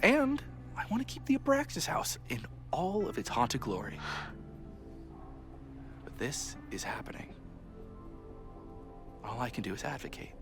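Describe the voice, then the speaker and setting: A man's voice: fairly high pitch, wildly swooping pitch, unhurried, long pauses, music in the background, exaggerated voice. A man playing a harried, wry university society president in a video game, theatrical and sarcastic in an exasperated way. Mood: earnest, defensive. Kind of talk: naturalistic video game acting.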